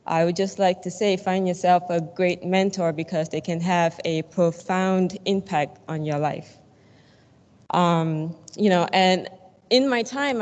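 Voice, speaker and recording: A woman from Singapore in her thirties giving a scientific conference talk, relaxed with many fillers. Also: on the low side. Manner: casual with frequent fillers. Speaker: conference speaker, plant biology researcher. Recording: long hall reverb.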